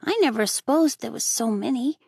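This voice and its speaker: A mischievous little boy with a high, childlike voice and a frank, pleading, colloquial way of talking, as a woman puts him on. The sound is dry and close, with no room around it. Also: fairly quick, gravelly, darkish tone, husky, no room sound. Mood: curious.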